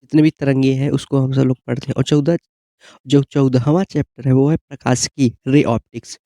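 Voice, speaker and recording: A man gives an informal explanatory exam-prep lesson, often pausing to check that listeners follow. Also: mid-range voice, level tone, warm tone, no echo, studio quality. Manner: repeated check-in tags like "okay?".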